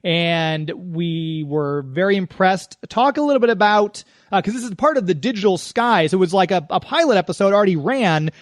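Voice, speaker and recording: High-voiced man hosting a dry-sounding radio interview. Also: no room sound. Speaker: radio show host interviewing a guest. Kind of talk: conversational interview.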